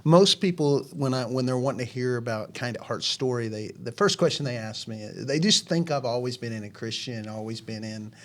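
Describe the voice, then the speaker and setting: A man's voice: mid-range voice, a little fast, hardly any pauses. Earnest rambling man in his forties. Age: 40s. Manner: earnest, rambling storytelling with many restarts. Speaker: ministry founder, podcast guest. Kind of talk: casual chat.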